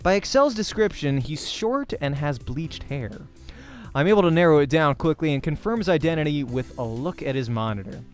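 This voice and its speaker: A man's voice: a little high, animated, normal speed, faint music behind. A man reading a visual novel aloud as dramatic narration, sometimes stumbling over words and correcting himself. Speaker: let's play host and voice actor reading a visual novel.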